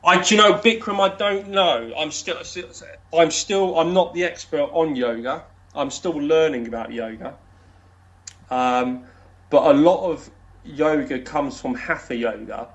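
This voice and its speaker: Rambling man with a deep voice. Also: a little slow, muffled recording. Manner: rambling, many fillers and restarts. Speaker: podcast guest. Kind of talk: casual interview chat.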